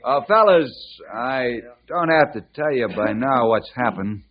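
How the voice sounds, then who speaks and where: A man's voice: medium pitch, lively intonation, measured pace, natural voice. A man playing a patient, upright mentor to boys, earnest and reassuring, with an American accent, in an old-time radio drama. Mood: firm, persuasive. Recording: muffled old radio recording.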